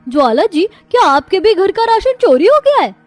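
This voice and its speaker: A woman's voice: extremely high pitch, sing-song, normal speed, dry recording, faint music behind, pitched above the performer's own voice. A woman voicing an agitated, complaining village neighbour in an exaggerated cartoon style. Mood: alarmed.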